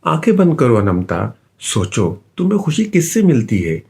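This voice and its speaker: A man voicing a gentle, encouraging magical female cat in a children's storybook, reassuring like a wise mentor. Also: medium pitch, huge pitch swings, normal speed, creaky, slightly rough, exaggerated voice.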